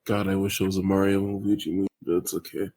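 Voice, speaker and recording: A man's voice: deep voice, little pitch movement, quick pace, dark tone, dry recording. A man in a casual podcast chat, tossing in short joking remarks. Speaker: podcast panelist.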